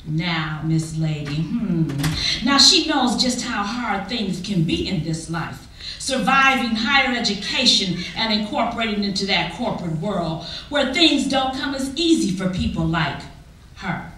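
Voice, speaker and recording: A woman giving a dramatic, expressive spoken-word poetry recitation, deliberate and full of drawn-out pauses. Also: fairly high pitch. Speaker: poetry reader at a church performance. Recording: echoey hall, distant mic, faint noise.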